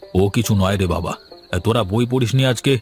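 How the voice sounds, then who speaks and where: A man playing a gentle, henpecked village father in a fairy-tale cartoon, speaking in a mild, placating, weary way. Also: on the low side, a little fast, soft background music, natural voice. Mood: weary tenderness.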